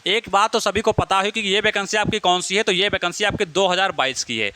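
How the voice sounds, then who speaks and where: A man's voice: sits high, brisk, pushed and bright, slight background noise. A man giving an informal explanatory lesson as an exam-prep teacher, often circling back to repeat his phrases.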